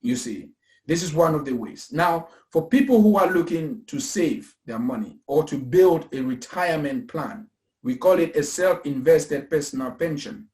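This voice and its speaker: Cameroonian guy in his 30s selling investing, kinda high voice, repeats stuff like a preacher, roomy echo. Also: animated, normal speed, a little mellow. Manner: earnest, repetitive, preacher-like emphasis. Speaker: investment company founder. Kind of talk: casual pitch talk. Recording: echoey room.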